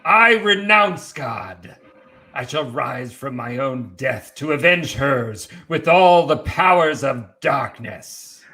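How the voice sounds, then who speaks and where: A man's voice: medium pitch, lively intonation, slow, sharp tone, full character voice. A man voicing a vengeful, grandiose vampire prince in a theatrical, declamatory manner, in an amateur script reading. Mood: defiant. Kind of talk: amateur script read-through.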